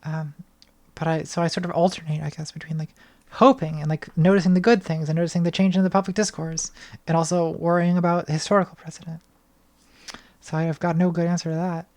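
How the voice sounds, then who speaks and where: A young woman answering patron questions on a casual livestream from her bedroom, thoughtful and hesitant, with a slightly hoarse voice, speaking into a studio mic. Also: on the low side, flat delivery, fairly quick, a little mellow. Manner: thoughtful, hesitant, many restarts and ums. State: voice getting hoarse. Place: small bedroom lit purple. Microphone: studio mic on arm, front of frame.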